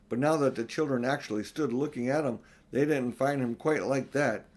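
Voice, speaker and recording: A man's voice: mid-range voice, a little mellow. Older man reading a storybook. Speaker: audiobook reader. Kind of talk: expressive storybook narration.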